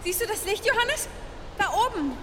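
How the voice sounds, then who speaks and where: A woman's voice: very high-pitched, expressive, normal speed, a little hiss or hum. An actress playing a gutsy, bossy young woman in a German radio drama, urging bluntly. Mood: relieved. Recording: long cavernous reverb, loud music underneath.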